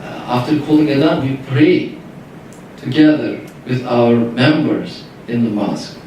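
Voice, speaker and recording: Slow, halting man with a Turkish accent. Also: mid-range voice, a little mellow, slight background noise. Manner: halting, searching for English words. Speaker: Qur'an reciter. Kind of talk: answering audience questions. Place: lecture room at a workshop. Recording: echoey room, distant mic.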